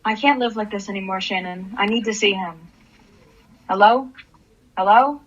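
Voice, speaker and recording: A woman's voice: medium pitch, normal speed, muffled recording, close to an everyday voice. A woman playing a bitter, desperate grieving mother in an anguished, confessional radio drama performance. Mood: pleading. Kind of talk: radio drama acting with first-person monologue.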